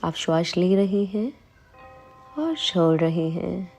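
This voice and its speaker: A woman leading a guided meditation, calm and soothing, giving unhurried instructions with long pauses between phrases. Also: lowish voice, slightly rough, faint music behind. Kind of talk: slow guided meditation in Hindi.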